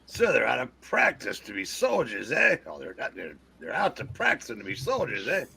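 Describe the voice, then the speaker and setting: A man doing a gravelly snarling army sergeant. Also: mid-range voice, huge pitch swings, normal speed, sharp tone, exaggerated voice. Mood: sneering. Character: snarling tough army sergeant, gruff bully. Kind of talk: amateur comic-book read-through.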